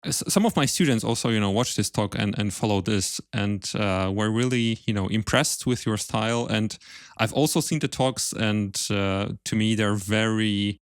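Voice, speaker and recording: Low-voiced male podcast host, dry sound. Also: normal speed, dry recording. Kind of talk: podcast interview, with scripted sponsor read.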